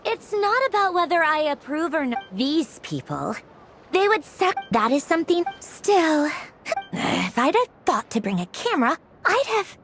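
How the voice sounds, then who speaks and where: Grumpy high pitched puppet girl for a game, voice leaps all over, loud music behind. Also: very animated, a little slow, heavily acted. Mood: grumbling, dismissive. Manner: huffy, snappish, sputtering protests. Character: haughty, easily flustered, grumpy young female puppet. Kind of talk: video game voice acting.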